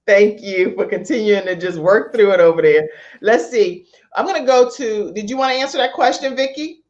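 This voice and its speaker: A woman hosting a casual live online talk show in a chatty, expressive, informal way over lo-fi call audio. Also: mid-range voice, moderate pace. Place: live video stream from a studio setup.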